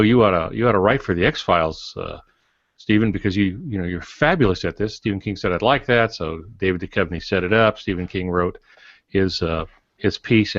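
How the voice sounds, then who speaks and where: A man co-hosting a podcast, explaining and telling anecdotes in a halting, deliberate, reflective way. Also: sits low, a bit bright. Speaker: podcast co-host and writer. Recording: dull, echoey room recording.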